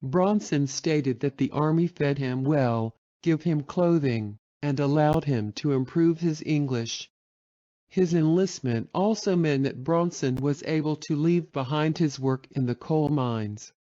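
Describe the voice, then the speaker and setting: A man reading a scripted documentary narration in an even, measured way. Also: a little high, lo-fi sound.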